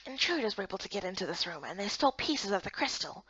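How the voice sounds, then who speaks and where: Woman voicing a hushed, gentle male guard official. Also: medium pitch, sing-song, fast talker, breathy edge, no room sound, highs cut off. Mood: patient, reassuring. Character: gentle, soft-spoken male guard official, patient and reassuring.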